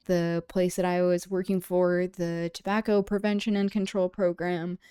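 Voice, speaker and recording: A woman hosting a livestream, thinking aloud in a casual, discursive and halting way. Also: lowish voice, mellow, clear, resonant tone, no echo, clean studio sound. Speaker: livestream host. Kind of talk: casual livestream chat, reflective commentary.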